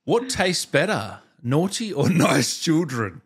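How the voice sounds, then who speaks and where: A man's voice: on the high side, very animated, slow, husky. A man in his thirties hosting a casual interview, speaking into a close desk microphone in a small home studio. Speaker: interview host.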